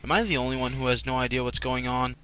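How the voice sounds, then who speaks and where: A man's voice: medium pitch, fairly even intonation, quick pace, close to an everyday voice. A man voicing clueless, melodramatic characters in an amateur anime parody dub. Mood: baffled, casual. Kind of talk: comedic cartoon parody dubbing. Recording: noisy amateur voice recording.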